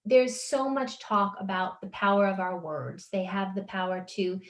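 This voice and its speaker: A woman with a Long Island accent hosting a casual podcast chat, animated and quick to laugh. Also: mid-range voice. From United States. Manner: animated, laughs often, talks in quick bursts. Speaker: podcast host.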